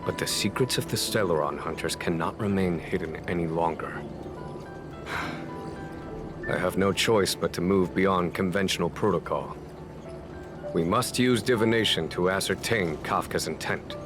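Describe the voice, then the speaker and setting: An actor playing a composed, shrewd military leader in a video game, speaking in a measured, formal, diplomatic manner. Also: male voice, sits low, music in the background. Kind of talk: scripted video game dialogue.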